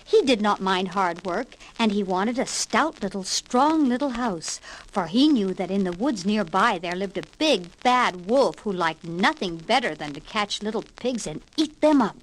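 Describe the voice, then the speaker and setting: A woman reading a scripted children's read-along story with expressive, emphatic delivery, on an old vinyl record. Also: mid-range voice, moderate pace, few pauses, low-quality recording. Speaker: storybook narrator. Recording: 1960s vinyl record with hiss and pops.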